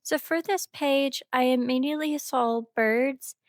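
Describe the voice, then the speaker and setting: Gentle young woman narrating art. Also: high voice, fairly even intonation, measured pace, no echo, clean studio sound. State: slightly sick. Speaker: art vlogger. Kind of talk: relaxed art tutorial narration.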